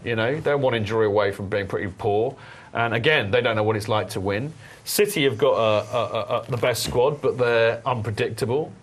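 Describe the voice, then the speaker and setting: A man hosting a casual football podcast, animated and rambling, with many restarts. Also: mid-range voice, brisk. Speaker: podcast host.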